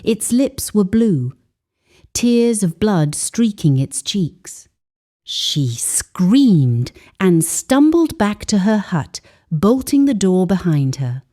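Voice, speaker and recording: A woman's voice: mid-range voice, big pitch swings, takes it slowly, dark tone. A woman narrating a folktale as a dramatic, scripted storyteller.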